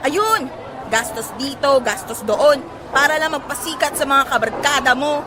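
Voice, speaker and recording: A woman's voice: high voice, moderate pace, a crowd in the background, noisy background, lightly acted. A woman playing an exasperated, struggling mother and nagging wife in a Filipino audio drama, scolding and sarcastic.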